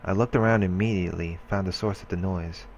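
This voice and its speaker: A man's voice: sits low, steady tone, fast talker, a little mellow, slight background noise. A man hosting a let's play, reading game narration aloud with occasional casual asides.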